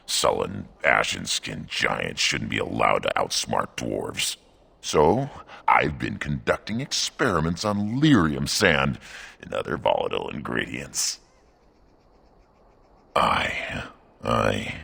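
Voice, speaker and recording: An actor playing a mad, gruff dwarven explosives tinkerer, proud and eccentric, in a fantasy video game. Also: male voice, bright, forceful tone, exaggerated voice. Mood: grudging, determined. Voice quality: very deep, gravelly, husky.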